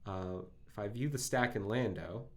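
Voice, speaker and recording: A man with a phlegmy throat from a cold hosts a live coding stream, thinking aloud in halting, self-correcting speech full of fillers. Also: fairly low pitch.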